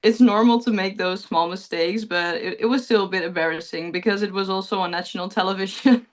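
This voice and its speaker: A woman's voice: mid-range voice, fairly quick. A young woman with a Dutch accent, a pageant contestant answering interview questions in earnest, chatty talk over a video call, often laughing. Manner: earnest, chatty, laughs often, relives moments with lively quoting.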